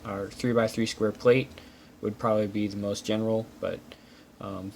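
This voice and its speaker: A man hosting a casual hands-on walkthrough, explaining small details methodically. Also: mid-range voice. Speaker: host reviewing building-brick pieces. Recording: clear steady background noise.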